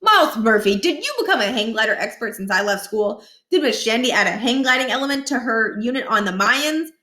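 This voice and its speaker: A woman's voice: on the high side, lively intonation, a little fast, sharp tone, echoey room. A woman voicing a pompous, blustering middle-aged male former school principal from a children's storybook, with formal, huffy delivery. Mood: indignant.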